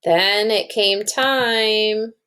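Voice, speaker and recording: A woman's voice: medium pitch, drawn-out words, bright, forceful tone. A woman reading a storybook aloud to children and explaining it like a teacher, with questions to the listeners.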